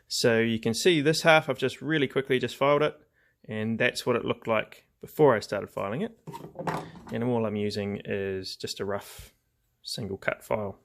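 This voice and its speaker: A man's voice: medium pitch, vocal fry, noisy background. A man giving a casual, hands-on craft demonstration, explaining each step in an informal, laid-back way. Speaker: craft tutorial host.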